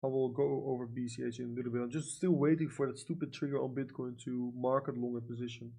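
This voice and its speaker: Male, young adult, Dutch accent, gravelly, mid-pitched. Casual stream talk, filler-heavy, blunt. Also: fairly even intonation, quick pace, mellow. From Netherlands. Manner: frequent fillers like "you know" and "like", blunt and profane. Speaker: livestream trader. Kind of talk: casual livestream chat reading comments.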